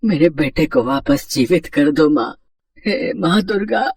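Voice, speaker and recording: A man acts out a humble potter, devoted husband and father, in a storybook tale. His voice is husky and emotional, with a reverent, pleading way of speaking. It sits a little away from the mic in a dry space. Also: fairly high pitch, lively intonation, measured pace, darkish tone, dry recording, recorded from a distance, exaggerated voice. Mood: anguished, pleading. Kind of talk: acted dialogue in a Hindi moral story.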